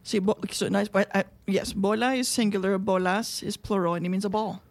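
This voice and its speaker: Co-host, woman, gravelly voice, explanatory. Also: mid-range voice, steady tone, brisk. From Venezuela. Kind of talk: casual chat.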